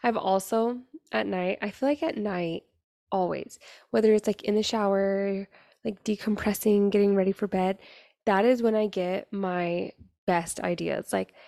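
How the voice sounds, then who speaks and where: A young woman talks through her podcast in a loose, confiding ramble, her voice raspy and gravelly from a long day. She sounds tired at the end of a late night, and the sound is close and dry with no room around it. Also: mid-range voice, fairly even intonation, a little slow, warm tone, no echo. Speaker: podcast host. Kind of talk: casual solo podcast monologue.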